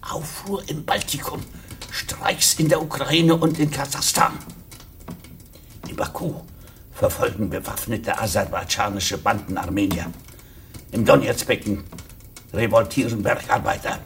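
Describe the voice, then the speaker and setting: A man in a radio play acting a grave Soviet hardliner who speaks ominously and deliberately. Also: on the low side, creaky, fairly bright tone. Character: grave Soviet hardliner, alarmed about the crumbling empire. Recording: slightly distant, faint crackling noise. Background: faint fireplace crackle.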